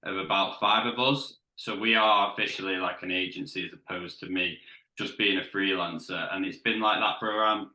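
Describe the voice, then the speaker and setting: A very deep-voiced man with a sharp, bright edge to his tone talks over what sounds like an echoey room. He answers interview questions in a relaxed way, with his sentences often trailing off. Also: normal speed. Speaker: web agency owner.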